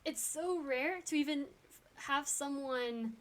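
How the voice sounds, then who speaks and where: A woman's voice: high voice, slow, fairly bright tone. A young woman from France chatting casually with her live stream viewers, talkative with frequent ums and little laughs.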